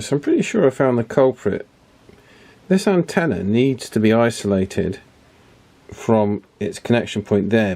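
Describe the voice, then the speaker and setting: Male video host, slightly deep voice, unscripted technical repair talk, explains as he works things out. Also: darkish tone. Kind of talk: informal hands-on repair commentary.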